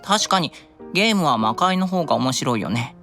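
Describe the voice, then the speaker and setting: Stubborn, earnest angel boy, voiced by a woman, fairly low for that, very animated, theatrical childlike delivery, anime radio drama. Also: normal speed, soft background music. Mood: stubborn, pleading. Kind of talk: live anime-style audio drama acting.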